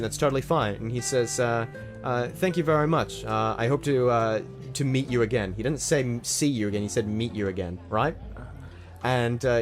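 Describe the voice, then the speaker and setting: Man with a slight Australian lilt. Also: mid-range voice. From Canada. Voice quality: rambling, restarts a lot, gets excited. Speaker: podcast co-host. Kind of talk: casual storytelling chat.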